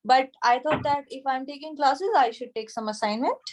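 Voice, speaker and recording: A woman in her thirties with an Indian accent, teaching an informal online class in a halting way, checking in as she goes. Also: high-pitched. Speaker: anatomy teacher at a yoga school. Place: small room with a whiteboard, indoors. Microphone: device camera a short distance away, video call.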